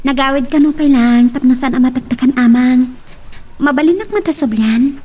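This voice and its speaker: A reserved, wounded young Ilocano sweetheart, played by a woman in an audio drama. She speaks in a high-pitched voice. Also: normal speed, warm tone. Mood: subdued. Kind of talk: amateur audio drama acting.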